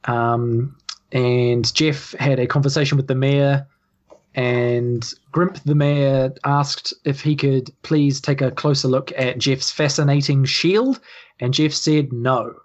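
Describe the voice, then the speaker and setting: A woman's voice: extremely low pitch, steady tone, takes it slowly, a little mellow. A woman with a New Zealand accent casually narrating a tabletop game as game master, informal and hesitant. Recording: slightly dull, music bed behind. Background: background music.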